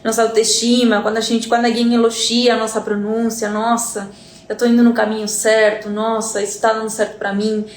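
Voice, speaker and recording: A Uruguayan woman whose first language is Spanish gives an earnest live-stream lesson, stumbling over repeated words and checking in with her audience. Her voice sits on the higher side. The room around her echoes. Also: little pitch movement, brisk. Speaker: Spanish teacher.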